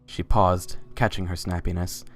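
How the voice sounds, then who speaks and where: Casting: male storybook narrator, deep and flat in delivery, faint music bed. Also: moderate pace. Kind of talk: scripted narration of a fan fiction story.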